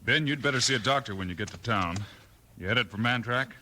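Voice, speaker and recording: A man's voice: mid-range voice, a little fast, bright, forceful tone. An actor playing a decisive, heroic frontier lawman with a commanding, plain-spoken American delivery in a 1950s radio western. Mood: calm, commanding. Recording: noisy old 1950s radio recording.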